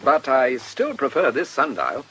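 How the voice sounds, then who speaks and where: A man playing a mild village gentleman with a British accent, courteous and slightly hesitant, in a radio drama. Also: mid-range voice, huge pitch swings, unhurried. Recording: radio drama with loud background noise.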